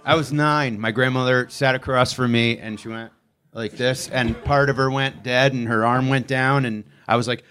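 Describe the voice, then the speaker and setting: A man, a comedian contestant, telling a quick, joking story. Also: mid-range voice, steady tone, fairly bright tone.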